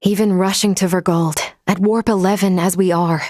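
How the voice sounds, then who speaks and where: A woman playing a logical, composed female android officer in a video game, speaking formally and precisely in a measured, unemotional way. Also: lowish voice, fairly even intonation, darkish tone, dry recording, studio quality, natural voice. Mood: calm, analytical.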